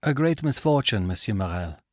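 A man voicing a calm, resolute young sailor in a storybook reading, speaking earnestly and raising his voice for commands. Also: fairly low pitch, a little fast, no room sound, clean studio sound. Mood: grave.

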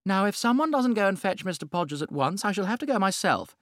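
A man doing a gushing high society lady. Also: sing-song, quick pace, no room sound, clean studio sound. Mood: airy. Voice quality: high, bright, theatrical. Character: vivacious society hostess, middle-aged woman.

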